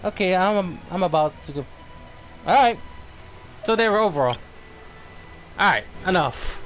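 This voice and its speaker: A man's voice: sits high, animated, a little slow, fairly bright tone, faint music behind. A man giving casual live gameplay commentary, informally muttering to himself and swearing. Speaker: game streamer.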